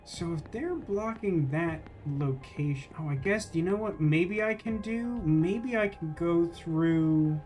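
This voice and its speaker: A high-voiced man gives casual live commentary on a game. He thinks aloud in a rambling, wry way, with faint music playing behind him. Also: takes it slowly, few pauses, a little mellow. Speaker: game streamer.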